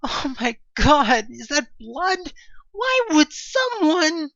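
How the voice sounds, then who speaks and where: A panicked explorer in a horror audio play, acted by a man, exclaims in a high, strained pitch that swoops around. The sound is dry and a little dull. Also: big pitch swings, takes it slowly, pushed and bright, no echo, heavily acted. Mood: horrified. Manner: alarmed, high-strung exclaiming. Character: panicked, frightened explorer of a haunted hotel.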